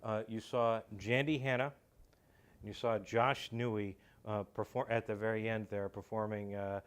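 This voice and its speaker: A man with a fairly low voice chats casually, as on a talk show. He is enthusiastic and often halting as he goes. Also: flat delivery, clear, resonant tone.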